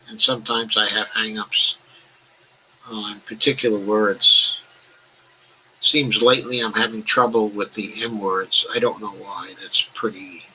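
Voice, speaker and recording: Bright-voiced older man hosting a live chat. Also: lowish voice, slight background noise, poor audio. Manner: talks about his own stutter, some restarts. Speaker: host of a political discussion show. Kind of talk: casual live-stream chat, reading out viewer comments.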